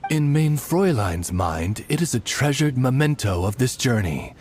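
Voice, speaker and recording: A man's voice: medium pitch, sing-song, moderate pace, a little mellow, soft background music, close to an everyday voice. A man voicing a dignified raven familiar in a video game, speaking formally and eloquently like a butler. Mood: courtly. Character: dignified male raven familiar, courtly servant to a princess. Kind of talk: video game character voice acting.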